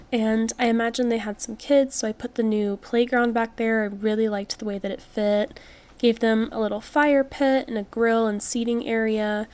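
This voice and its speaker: Young woman, slightly high voice, stuffy with a cold, casual rambling game commentary. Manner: relaxed, chatty, many fillers.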